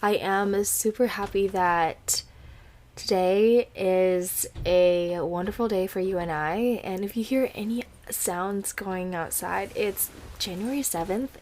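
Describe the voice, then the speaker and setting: A woman's voice: medium pitch, darkish tone. A young woman, hosting a podcast in casual, rambling chat with many fillers and restarts. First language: Indonesian. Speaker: podcast host.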